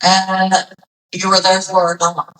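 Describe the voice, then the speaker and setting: A woman's voice: medium pitch, completely flat delivery, unhurried, fairly bright tone, far from the mic, low-quality recording. A woman hosting an interview show, chatty and playful, sometimes rambling through her questions. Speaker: podcast host. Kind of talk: casual interview.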